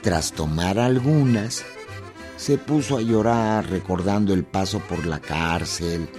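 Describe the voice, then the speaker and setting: A man's voice: low-pitched, slow, music in the background. A man narrating a radio drama from a script.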